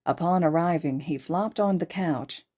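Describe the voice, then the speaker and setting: A woman's voice: fairly low pitch, moderate pace, warm tone. A woman reading a story aloud as a podfic narrator, in scripted narration. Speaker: podfic reader and host.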